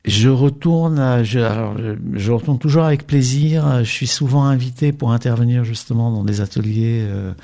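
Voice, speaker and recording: A man's voice: medium pitch, steady tone, moderate pace, hardly any pauses, mellow. An older man from Czechia with a faint Czech accent, a documentary filmmaker talking in a radio interview, hesitant with many fillers and restarts.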